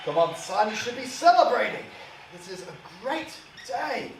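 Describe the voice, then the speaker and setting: A man's voice: mid-range voice, measured pace, close to an everyday voice. Overbearing older drunken father, echoey and distant, man acting. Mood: boozy insistence. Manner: scornful, forceful, haranguing. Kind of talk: theatrical monologue acting. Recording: distant mic, echoey room, faint hiss.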